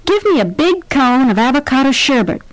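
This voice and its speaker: A woman reading scripted practice dialogues for a language course, clearly and deliberately. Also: high voice, big pitch swings, dry recording, poor audio. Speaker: dialogue reader. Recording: dry studio sound.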